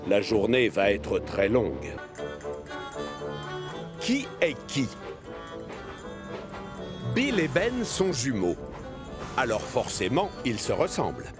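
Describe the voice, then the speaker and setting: A man narrating a children's cartoon in French dub as an expressive storyteller. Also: mid-range voice, takes it slowly, long pauses, over music.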